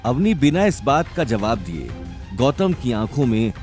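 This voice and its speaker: A man's voice: medium pitch, very animated, a little slow, darkish tone, soft background music. A man narrating a scripted audio story in a dramatic style.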